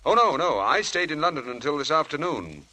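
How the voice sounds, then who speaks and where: An actor playing a suave, evasive English husband in a 1940s radio drama, with a husky voice and smooth, clipped talk. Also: male voice, on the high side, big pitch swings, a little fast, heavy background noise. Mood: polite, controlled. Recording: old radio broadcast recording.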